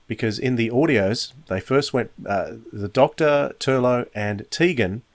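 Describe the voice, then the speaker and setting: A man hosting a review podcast, giving conversational, measured and explanatory opinions. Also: mid-range voice, no echo. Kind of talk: spoken review, conversational commentary.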